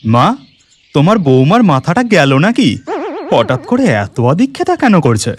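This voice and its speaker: A man's voice: fairly high pitch, very animated, a little slow, soft background music. A man voicing a mild young village husband and dutiful son in a cartoon. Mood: puzzled. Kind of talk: cartoon voice acting.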